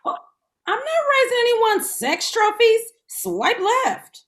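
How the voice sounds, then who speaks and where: A woman's voice: extremely high pitch, sing-song, slow, fairly bright tone, full character voice. A woman playing a sassy, blunt, man-hungry best friend in a comedy table read, brassy and emphatic. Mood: gleefully picky.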